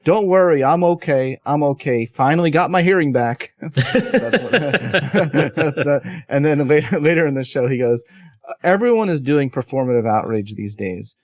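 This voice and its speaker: A man's voice: on the high side, lively intonation, normal speed, no room sound. Man hosting a podcast, close dry sound. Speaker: podcast host. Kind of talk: casual conversational interview.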